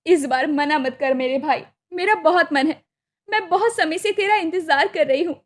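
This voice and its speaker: A woman's voice: high voice, moderate pace, natural voice. A woman voicing a hopeful, devoted young village sister in a cartoon story, earnest and pleading. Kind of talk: Hindi cartoon moral story acting.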